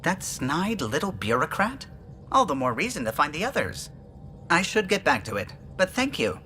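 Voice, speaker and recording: Alien starship pilot, video game, animated intonation, chatty and precise, nostalgic, faint music. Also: male voice, a little high, normal speed. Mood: wistful, polite. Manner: chatty, fond reminiscing, precise. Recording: music behind the voice.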